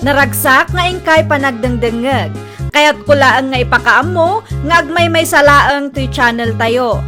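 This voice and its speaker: Slow-speaking woman hosting a radio drama. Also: sits high, over music. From Philippines. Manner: slow, drawn-out announcer delivery. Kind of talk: scripted program outro.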